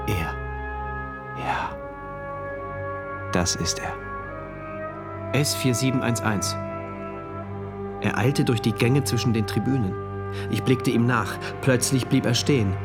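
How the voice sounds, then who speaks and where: An actor playing an awed, uneasy diarist, a loyal citizen of a dystopia, narrating a German radio play in a measured, literary way. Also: male voice, mid-range voice. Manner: measured, literary, solemn recounting. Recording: loud music under the voice. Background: music.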